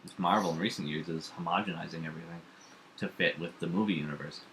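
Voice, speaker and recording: A man chatting casually, animated and opinionated, nitpicking in quick bursts. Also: sits low, slight background noise, rough recording. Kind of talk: casual chat.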